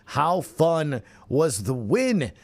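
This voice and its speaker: A man's voice: a little high, big pitch swings, drawn-out words. A man hosting an upbeat sports podcast, talking conversationally in punchy phrases and tossing out questions. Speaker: podcast host.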